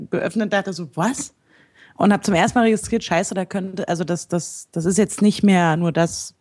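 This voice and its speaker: A woman's voice: medium pitch, darkish tone. A woman talking in a casual discussion, rambling and searching for words, frank about her thoughts.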